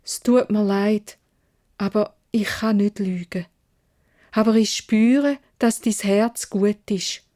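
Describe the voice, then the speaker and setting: A woman's voice: mid-range voice, fairly even intonation, slow pace, mellow, close to an everyday voice. A Swiss German woman voicing a kind, honest, dutiful young beauty in a storybook fairy tale, speaking earnestly. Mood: timid, kind. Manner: earnest, emphatic.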